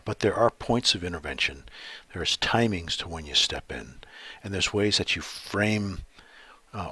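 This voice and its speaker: A middle-aged man giving an educational talk, thoughtful and pausing often with ums and uhs. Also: low voice, bright, forceful tone, husky, dry recording. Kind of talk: presentation.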